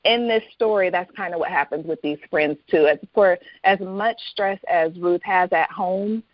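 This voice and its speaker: Low-voiced woman, muffled sound. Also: normal speed, dry recording. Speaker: guest author. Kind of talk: reading aloud from own novel, then interview chat.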